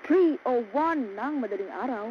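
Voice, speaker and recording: A woman's voice: high voice, animated, moderate pace. A woman with a Filipino accent anchoring live radio news coverage. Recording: muffled, noisy radio broadcast.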